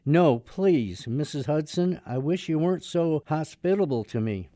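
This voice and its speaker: A man's voice: fairly high pitch, lively intonation, a little slow, dark tone, no echo, studio quality. A man playing a reserved, uneasy debt collector in a radio drama, terse, polite and hesitant. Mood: embarrassed.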